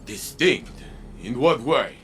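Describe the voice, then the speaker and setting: An actor playing a big-hearted, hopeful warrior in a video game, speaking with earnest weight. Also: male voice, mid-range voice, expressive, slow pace, a bit bright, slight background noise. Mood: puzzled. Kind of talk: video game cutscene acting.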